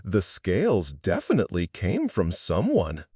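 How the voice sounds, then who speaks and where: Man as a slow, thoughtful male octopus. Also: low-pitched, wildly swooping pitch, a little mellow, husky, no echo, clean studio sound, distant mic, voice pushed down, full character voice. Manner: pondering, measured.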